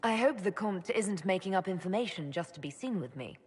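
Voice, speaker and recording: A woman's voice: medium pitch, animated, brisk, darkish tone, breathy edge, big-room sound. An actress playing a composed female elven mage leader in a video game, dry and direct in manner. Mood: cool, questioning. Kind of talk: dramatic video game acting.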